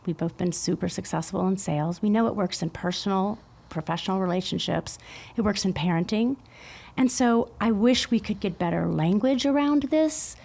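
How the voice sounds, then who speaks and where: A woman's voice: mid-range voice, creaky, a little mellow. Upbeat, articulate woman in her fifties. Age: 50s. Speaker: podcast guest. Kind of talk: casual chat.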